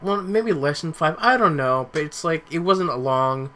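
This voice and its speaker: Bright-voiced man in rambling casual chat. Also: on the high side, lively intonation, normal speed. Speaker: podcast host.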